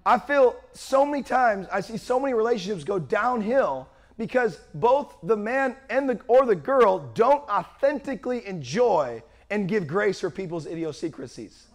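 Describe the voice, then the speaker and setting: A young man preaching a sermon with a worn-out, strained voice and a loud, projecting manner. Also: high-pitched, animated, moderate pace, few pauses. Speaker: pastor.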